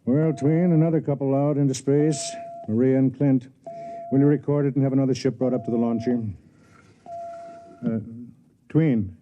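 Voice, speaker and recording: An actor playing a kindly, wry man in a 1950s radio drama, speaking in a measured, gentle way. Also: mid-range voice, steady tone, phone-quality sound, slight background noise, natural voice. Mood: businesslike, kindly. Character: kindly, wry, compassionate space-station man, narrating. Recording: vintage radio recording with music behind.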